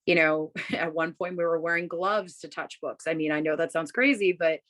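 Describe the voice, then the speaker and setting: A woman in her thirties talks casually over a video call from a room at home. Her voice sits a little low, and she answers in an upbeat, rambling way, full of "um" and "you know.". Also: moderate pace, a bit bright. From Massachusetts, USA. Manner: chatty, many fillers, upbeat. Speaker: bookstore owner, guest.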